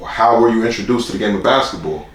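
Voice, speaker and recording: A man's voice: fairly low pitch, fast, roomy sound, low-quality recording. A man hosting a podcast interview, laid-back and friendly, asking questions casually. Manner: laid-back, calls the guest "man" and "brother". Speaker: podcast host.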